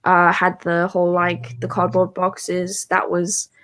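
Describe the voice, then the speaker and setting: A woman's voice: fairly low pitch, completely flat delivery, measured pace, a bit bright. A woman co-hosting a book club, talking casually and conversationally about novels. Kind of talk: casual chatty book discussion.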